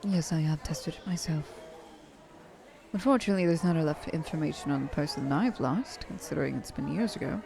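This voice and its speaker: A woman's voice: sits low, brisk, vocal fry. A woman voicing an ancient, seductive, possessive vampire trillionaire in an intimate, poised ASMR roleplay. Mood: calm, reflective.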